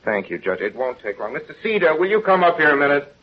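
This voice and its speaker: An actor playing an earnest, plain-spoken small-town young man in a 1930s radio drama, folksy and halting. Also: on the high side, sing-song. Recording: dull, noisy 1930s radio recording.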